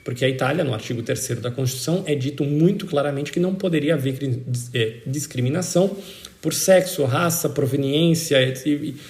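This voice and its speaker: A man's voice: fairly high pitch, brisk, a little mellow. A man with a Brazilian accent explaining law as an interviewed expert, in an emphatic, didactic way that repeats key points for stress. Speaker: citizenship expert being interviewed. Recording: roomy, echoing sound.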